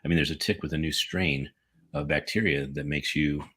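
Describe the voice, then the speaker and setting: A man's voice: rumbling low, fairly even intonation, normal speed, background noise. An American man co-hosting a casual talk show, rambling and joking and correcting himself as he goes. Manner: rambling, jokey, self-correcting. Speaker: podcast co-host. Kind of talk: casual chat.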